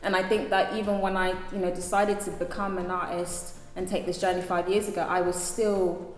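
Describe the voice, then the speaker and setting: Deep voiced woman thinking out loud, lots of um and you know, roomy echo. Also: little pitch movement, a little fast, faint music behind. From London. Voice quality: deep speaking voice. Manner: thoughtful, many fillers and restarts. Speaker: singer, guest. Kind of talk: casual interview talk.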